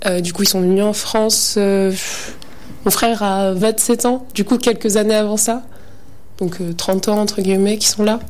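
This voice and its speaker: A woman's voice: mid-range voice, fairly even intonation, normal speed, darkish tone. A seventeen-year-old girl in an interview, speaking hesitantly with many fillers as she reflects. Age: teens. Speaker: high school student interviewee. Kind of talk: reflective testimony.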